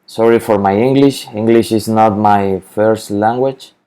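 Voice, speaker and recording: A man talks through a software tutorial at an unhurried pace, stumbling and repeating words as he searches for them. His voice is low and mellow. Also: fairly even intonation. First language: Spanish. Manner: halting, repeats words, many fillers. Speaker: spreadsheet tutorial maker. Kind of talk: screen-recorded software walkthrough.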